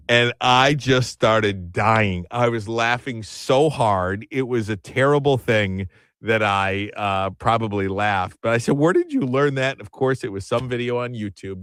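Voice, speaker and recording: A man's voice: mid-range voice, measured pace, fairly bright tone, no room sound. A man hosting an afternoon radio talk show, chatty and self-deprecating. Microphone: studio microphone.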